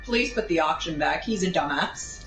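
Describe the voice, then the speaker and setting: A woman casually telling a rambling anecdote in an animated way, drifting into tangents and acting out what people said. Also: sits low, moderate pace, music in the background. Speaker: storyteller. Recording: roomy, distant mic, steady background noise.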